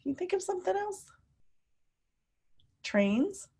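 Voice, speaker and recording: A woman teacher reading a picture book aloud to young children, animated and emphatic, often putting questions to her listeners. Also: very high-pitched, creaky. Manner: animated, emphatic, drawn-out words, coaxing young children along.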